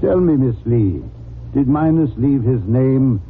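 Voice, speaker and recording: An actor playing an irritable, erudite detective genius, pompous, precise and curt, in 1940s radio style. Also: male voice, mid-range voice, animated, measured pace. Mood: stern, probing. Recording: tinny, noisy old radio recording.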